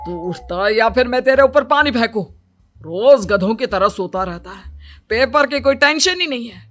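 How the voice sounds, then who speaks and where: A man's voice: very high voice, lively intonation, normal speed, a bit bright, faint music behind. A man playing a stern, exasperated middle-aged father who scolds and nags, in a cartoon moral story. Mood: scolding. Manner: scolding, nagging.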